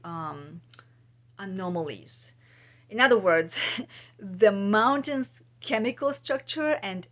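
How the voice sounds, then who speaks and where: Woman, musing and hesitant. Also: fairly low pitch. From Bulgaria. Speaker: vlogger and podcast host; trauma practitioner. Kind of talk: casual, reflective talk to viewers.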